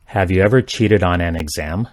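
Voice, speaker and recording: A man reading simple English practice sentences aloud, each one twice, in a careful, even delivery. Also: sits low, normal speed, dry recording, clean studio sound. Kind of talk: slow repeated sentence reading for learners.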